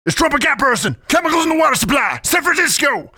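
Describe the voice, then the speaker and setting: A man's voice: extremely high pitch, sing-song, fast talker, pushed and bright, dry recording, studio quality, exaggerated voice. A man playing a ranting, unhinged conspiracy-theory radio host, frantic and rambling in a parody talk show. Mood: manic ranting.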